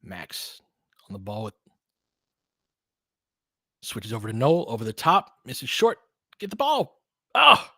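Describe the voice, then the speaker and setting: A man with a mid-pitched voice calls a basketball game live. His delivery is informal and partisan, built from short clipped phrases, and excitable when the play heats up. The sound is dry, with no room around it. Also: slow pace, pauses often, dry recording. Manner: partisan, excitable on big plays. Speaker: fan play-by-play commentator.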